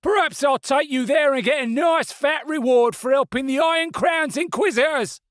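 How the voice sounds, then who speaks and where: A man voicing an angry male fish stallholder who shouts and accuses, in an audiobook. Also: very high voice, normal speed, no echo, clean studio sound, voice pushed up. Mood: scornful.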